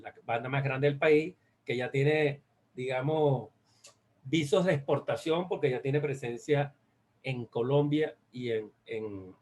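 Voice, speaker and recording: A man with a Venezuelan accent giving an academic presentation, speaking haltingly and often repeating phrases as he searches for words. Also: fairly high pitch. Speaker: guest speaker. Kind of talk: academic presentation on a research study.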